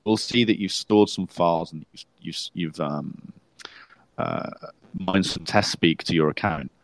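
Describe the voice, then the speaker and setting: A man's voice: low voice, dry recording, low-quality recording. A man co-hosting a casual podcast, laying out plans at length, with fillers and restarts. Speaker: podcast co-host.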